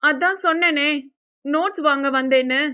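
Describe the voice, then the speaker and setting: A woman's voice: sits high, normal speed, sharp tone. A woman voicing a bold, smitten young woman, pleading and insistent, in an audio novel. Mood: defensive. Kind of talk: Tamil audio novel character acting.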